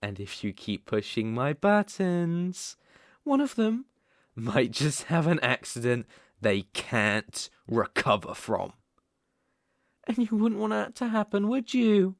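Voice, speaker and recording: Man acting gravelly, possessive British boyfriend. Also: fairly high pitch, big pitch swings, no room sound, clean studio sound, heavily acted. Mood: gloating, threatening. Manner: soft coaxing menace, slow deliberate emphasis. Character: obsessive, possessive, menacing young boyfriend holding partner captive. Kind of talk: intimate ASMR roleplay acting.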